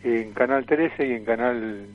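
An elderly man with an Argentine accent reminiscing as a radio guest over the phone, in a halting way that repeats phrases. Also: mid-range voice. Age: 70s or older. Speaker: interview guest, veteran caricaturist and actor. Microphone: telephone. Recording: tinny, noisy phone line.

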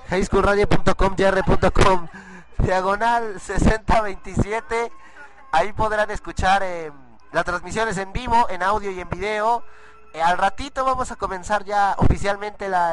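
A hoarse man, giving rambling live commentary on a school cultural event for the school radio. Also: sits high, low-quality recording. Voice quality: husky. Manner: rambling, restarts often, excited bursts.

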